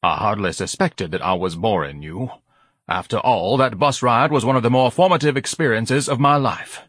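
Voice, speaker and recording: A man's voice: mid-range voice, normal speed, a bit bright, breathy edge, no echo, studio quality, pitched above the performer's own voice. A man voicing a pompous, haughty grown man who lectures his mother.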